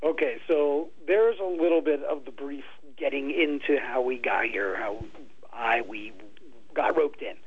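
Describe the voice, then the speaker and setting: Radio host guy, kinda husky, chatty and a bit stumbly, muffled am radio sound. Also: mid-range voice, measured pace. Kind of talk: casual radio talk.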